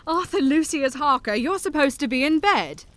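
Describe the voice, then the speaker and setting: Actress as gentle genteel Victorian mother, soft-spoken. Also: high-pitched, animated, moderate pace, no echo. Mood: tender. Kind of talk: audio drama acting.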